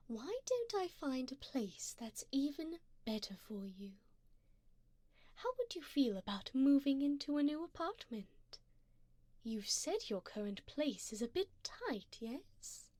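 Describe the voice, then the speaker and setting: Slow, whispery seductive vampire lady voiced by a woman. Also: on the high side, a little mellow, no echo. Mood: doting, soothing. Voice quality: breathy, often dropping into whispers. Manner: slow, intimate, formal and coaxing. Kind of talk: ASMR roleplay. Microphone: close binaural microphone.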